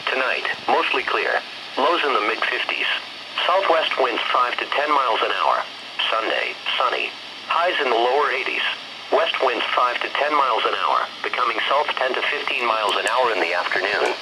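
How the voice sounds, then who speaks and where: Synthetic male weather announcer, very noisy radio. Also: medium pitch. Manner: flat, measured synthesized reading in short phrases. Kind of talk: automated scripted weather bulletin.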